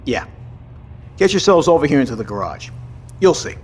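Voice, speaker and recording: An actor playing a gruff, impatient military commander with dry sarcasm in a naturalistic audio drama. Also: male voice, medium pitch, sing-song, moderate pace, a little hiss or hum, natural voice. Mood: brisk.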